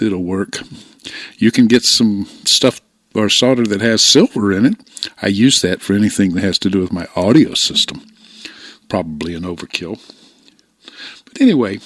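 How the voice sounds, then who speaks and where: Low-pitched older man, dry close mic. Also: fairly bright tone, dry recording. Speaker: radio hobbyist presenter. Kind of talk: informal instructional narration. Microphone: close desktop microphone, voice-over.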